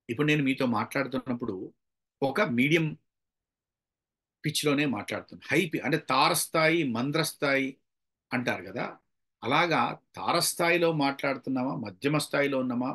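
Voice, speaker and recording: A man's voice: medium pitch, moderate pace, long pauses, fairly bright tone, breathy edge. A man from India, giving an instructional talk on delivery as a trainer, explaining step by step in an emphatic, demonstrative way. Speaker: public speaking trainer. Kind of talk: instructional talk with acted-out examples.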